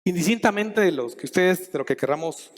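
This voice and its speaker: A middle-aged man from Guatemala giving a conference talk, measured and thinking aloud with frequent restarts and fillers. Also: high voice, animated. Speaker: conference speaker. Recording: long hall reverb.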